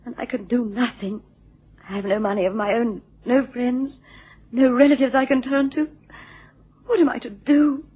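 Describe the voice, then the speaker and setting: A woman's voice: a little high, steady tone, normal speed, long pauses, husky. An actress playing a distressed, genteel wife in a radio drama, hesitant and pleading as she seeks help. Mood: desperate. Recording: muffled lo-fi radio recording.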